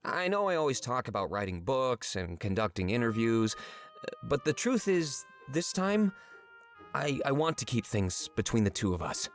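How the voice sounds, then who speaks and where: A male actor plays an earnest, nervous swindler who wants to be trusted, in a visual novel game. He speaks in a confiding, hesitant way with highly animated pitch. Soft music plays behind him. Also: normal speed, natural voice. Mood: earnest, coaxing. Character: earnest, nervous male furry swindler-writer opening up to a friend.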